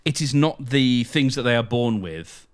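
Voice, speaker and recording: A man's voice: mid-range voice, animated, moderate pace, smooth. A man co-hosting a podcast, explaining ideas in a casual, thoughtful conversational way. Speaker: podcast co-host.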